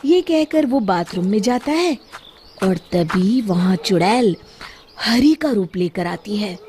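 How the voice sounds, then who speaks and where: A woman narrating a scripted children's story in a dramatic, expressive way. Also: mid-range voice, slow pace, slight background noise.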